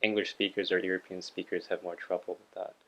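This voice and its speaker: A man hosting an interview, asking questions and sharing views in a measured, reflective way. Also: low voice, little pitch movement, a bit bright, lo-fi sound. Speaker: interviewer, channel host. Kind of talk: casual interview conversation.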